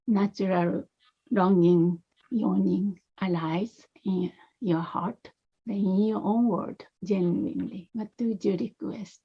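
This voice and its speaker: A woman leading a guided meditation, speaking softly and unhurriedly in short phrases with long pauses. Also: medium pitch, vocal fry, slightly rough, lo-fi sound. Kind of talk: guided meditation, gentle spoken instruction.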